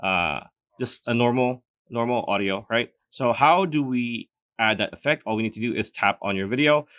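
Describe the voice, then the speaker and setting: A man's voice: mid-range voice, normal speed, fairly bright tone, dry recording. A man narrating a casual step-by-step screen tutorial in an informal way, often checking in with the listener, as a close voice-over. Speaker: tutorial host.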